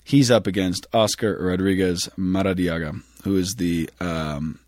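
A man in his thirties with a somewhat low voice, co-hosting a casual podcast chat. He jokes, laughs easily and trips over hard names. The recording is dry and close. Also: steady tone, measured pace, a little mellow, no room sound. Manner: laughing, joking, stumbles over foreign names. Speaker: podcast co-host.

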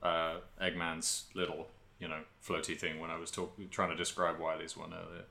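A man's voice: low-pitched, monotone, moderate pace, vocal fry, bright, forceful tone. A man co-hosting a podcast, chatting casually about video games. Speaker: podcast co-host.

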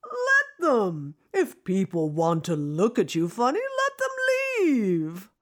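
A woman's voice: medium pitch, wildly swooping pitch, slow. A woman playing an elegant, exuberant older singing teacher in an audio drama, theatrical and commanding. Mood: exuberant, urging. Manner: theatrical, commanding, calls people darling. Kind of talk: audio drama character acting.

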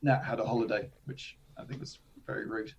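A man, a slightly hoarse guest chatting casually about tabletop games, with self-deprecating asides. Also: lowish voice, steady tone, brisk, lo-fi sound. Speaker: guest, tabletop game designer. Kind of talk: casual interview chat.

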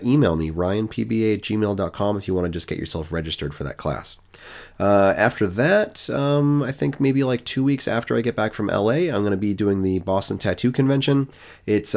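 A man's voice: sits low, muffled recording. A man in his forties hosting a podcast, talking casually and rambling a bit while stuffed up with a cold. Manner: relaxed, rambling, many fillers and restarts. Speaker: podcast host. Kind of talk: casual solo podcast monologue.

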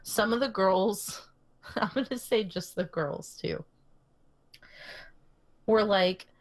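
A woman's voice: medium pitch, slow pace. A young woman co-hosting a podcast, casually telling a long story full of fillers and restarts, often laughing. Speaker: podcast co-host. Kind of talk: casual chat.

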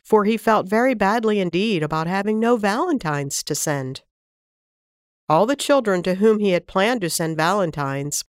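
A woman reading a classic children's story aloud in an expressive, warm storytelling manner on a close studio mic. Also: mid-range voice, clear, resonant tone, no echo.

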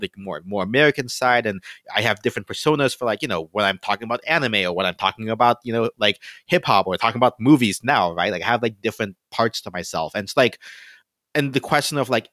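A man's voice: lowish voice, a bit bright, no echo. A man who grew up in the Philippines, hosting a podcast in a loose, casual way with lots of fillers and tag questions. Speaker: podcast host.

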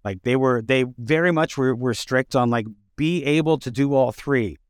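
A man hosting a casual interview, talking in halting, measured phrases. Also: medium pitch, clear, resonant tone, dry recording, clean studio sound. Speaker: interview host.

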